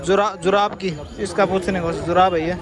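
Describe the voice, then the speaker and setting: A man's voice: high voice, steady tone, a little fast, crowd noise. A young man from India, walking through an outdoor street market and chatting to the camera as a travel vlogger. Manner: chatty, pointing things out, repeats "theek hai" and "dekho bhai". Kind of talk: walking market tour vlog. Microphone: handheld camera mic. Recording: noisy street with traffic.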